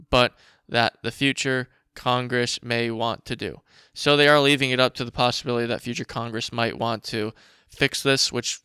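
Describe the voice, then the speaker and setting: A man hosting a casual commentary show, reading documents aloud and remarking on them in a blunt, informal, profane way, with a slight rasp. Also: medium pitch, sharp tone, dry recording, clean studio sound. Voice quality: raspy. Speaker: show host.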